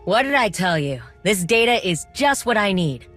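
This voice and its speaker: An actress playing a determined, wry droid mechanic in a video game, speaking informally with coaxing, teasing encouragement. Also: female voice, mid-range voice, big pitch swings, normal speed, a bit bright, soft background music. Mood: patient, encouraging.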